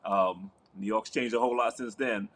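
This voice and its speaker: A man's voice: lowish voice, steady tone, moderate pace, a bit bright. Trinidad-born man with a New York lilt. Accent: mix of Trinidadian, New York and Georgia. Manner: friendly, storytelling, repeats words as he starts. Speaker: guest, criminal defense attorney. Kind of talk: casual interview chat.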